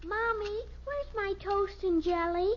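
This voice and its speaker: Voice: extremely high pitch, slow pace. A performer playing a literal-minded, pestering little girl in a 1950s radio sitcom, whiny and childish. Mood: accusing. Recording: muffled vintage radio recording.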